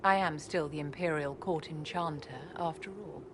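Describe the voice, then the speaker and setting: An actress voicing a haughty, secretive court enchantress in a video game, poised and condescending. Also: female voice, deep voice, moderate pace, slight background noise, natural voice. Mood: imperious. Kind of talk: video game cutscene dialogue.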